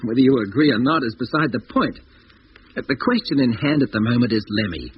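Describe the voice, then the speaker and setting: An actor playing a stubborn, sceptical scientist on a spaceship crew in a 1950s radio drama, argumentative, dismissive and emphatic. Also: male voice, mid-range voice, lively intonation, fairly quick, lightly acted. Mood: insistent. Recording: dull, hissy 1950s radio recording.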